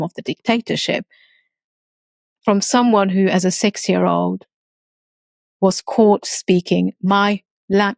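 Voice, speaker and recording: A woman's voice: on the low side, vocal fry, no room sound. A woman born in Romania, a guest entrepreneur speaking earnestly in a casual interview, often stopping and restarting her sentences. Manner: earnest, halting with many restarts.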